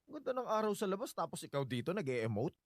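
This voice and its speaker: A man's voice: high-pitched, big pitch swings, quick pace, no room sound, clean studio sound. An actor playing a caring, insistent young man secretly in love in a Filipino radio drama, speaking in a concerned, coaxing way.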